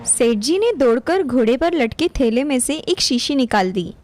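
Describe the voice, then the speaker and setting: A woman's voice: high-pitched, lively intonation, moderate pace, darkish tone. A woman narrating a scripted moral story as a storyteller. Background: music behind the voice.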